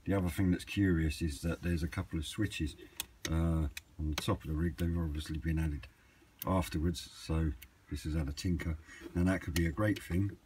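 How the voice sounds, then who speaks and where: A man's voice: low-pitched, steady tone, normal speed, few pauses, a little mellow. A man chatting casually and off the cuff, hesitant as he thinks aloud in a hobby vlog. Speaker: hobbyist vlogger. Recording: clearly noisy amateur camera recording.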